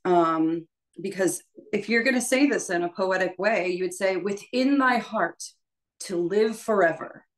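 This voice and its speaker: A woman's voice: medium pitch, measured pace. A woman leading a choral workshop as a music teacher, explaining informally and conversationally, often checking in with her listeners.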